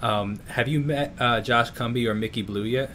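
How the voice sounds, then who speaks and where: A young man with a New York accent, speaking at a middling pitch as he chats his way through an interview over a video call. His sentences ramble and restart and are padded with fillers, and a faint low rumble hums under his voice. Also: normal speed, fairly bright tone. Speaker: interviewer, YouTube music channel host.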